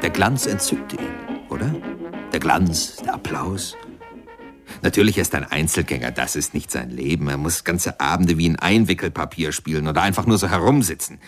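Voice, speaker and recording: Radio play narrator: low voice, conversational and questioning, music clearly behind. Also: male voice, expressive, moderate pace. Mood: musing. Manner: conversational, musing, questioning, showing and prompting. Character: musing biographer-narrator who prompts and coaches another speaker.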